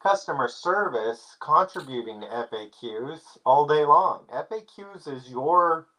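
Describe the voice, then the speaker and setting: Relaxed man explaining as a guest expert. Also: a little high, measured pace, rough recording. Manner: relaxed, explanatory, many "I mean" and "you know" fillers. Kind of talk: casual conversational interview.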